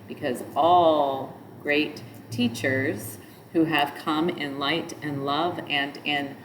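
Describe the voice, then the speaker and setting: Relaxed low-voiced woman teaching yoga. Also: slow, slight background noise, rough recording. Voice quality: nasal voice. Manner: chants mantras in a nasal sung tone between explanations. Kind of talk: spoken yoga instruction.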